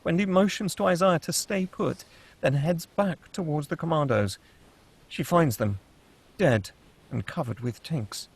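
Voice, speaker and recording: A man's voice: fairly high pitch, measured pace, slightly rough. A man reading scripted stage directions as the narrator of a screenplay table read.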